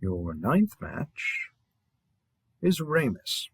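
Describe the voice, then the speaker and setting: Man playing a husky, unhurried cheerful matchmaking host. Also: lowish voice, big pitch swings, no room sound, exaggerated voice. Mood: playful, intrigued. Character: cheerful male dating-app host presenting monster matches. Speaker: matchmaking announcer. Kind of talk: scripted roleplay audio narration.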